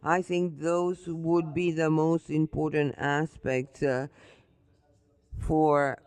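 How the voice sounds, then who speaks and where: A man answering a question as a conference panelist, halting and full of "uh" fillers. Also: a little high, level tone, a little mellow, smooth, no room sound. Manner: slow and halting, with many uh fillers.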